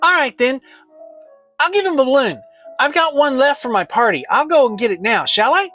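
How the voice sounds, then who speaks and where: A man's voice: very high-pitched, lively intonation, normal speed, sharp tone, soft background music, pitched above the performer's own voice, heavily acted. A man voicing a small, timid, eager young piglet in a storybook, with a childlike manner. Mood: eager, hopeful.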